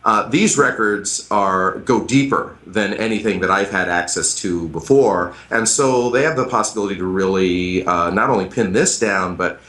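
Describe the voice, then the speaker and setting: A man's voice: deep voice, normal speed, hardly any pauses, fairly bright tone. An American man, a middle-aged history professor, explaining at length in a radio interview with frequent hesitant fillers. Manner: thoughtful, hesitant, many fillers.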